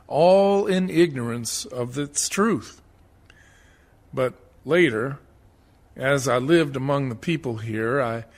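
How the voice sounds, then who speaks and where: A man's voice: medium pitch, close to an everyday voice. A man acting a rueful small-town tombstone carver in a dramatic verse monologue for a radio play. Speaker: actor in a community theatre radio play.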